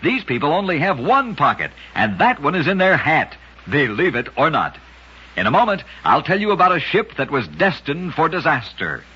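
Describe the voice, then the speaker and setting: A man narrating strange true facts in a scripted old-time radio style, with a brisk, dramatic storytelling cadence. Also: a little high, huge pitch swings, pushed and bright, slightly rough, dull audio.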